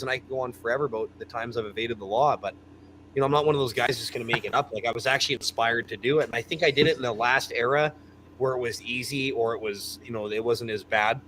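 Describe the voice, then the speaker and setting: A man in his thirties, chatting casually and enthusiastically about building fast cars. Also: medium pitch, fairly bright tone, slight background noise, low-quality recording. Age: 30s. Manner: rambling, enthusiastic car talk. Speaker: guest. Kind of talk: casual chat.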